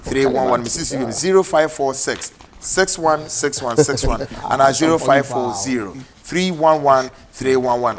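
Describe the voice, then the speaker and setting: A man with a Ghanaian English accent hosting a TV talk show, mixing English and Twi in brisk announcements. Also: medium pitch. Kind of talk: TV political talk show, closing with ads. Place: TV studio.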